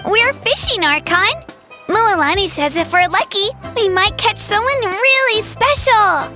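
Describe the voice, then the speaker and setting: A woman's voice: very high-pitched, lively intonation, measured pace, sharp tone, faint music behind, full character voice. A woman voicing a small, earnest, polite child in a video game. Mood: eager. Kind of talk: animated game dialogue.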